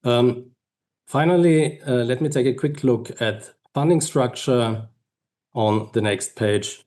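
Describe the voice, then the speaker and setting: A man speaking as a finance executive on an earnings call, explaining figures in a measured, halting way. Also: medium pitch, darkish tone. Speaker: finance executive presenting company results. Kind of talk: earnings call presentation and answers.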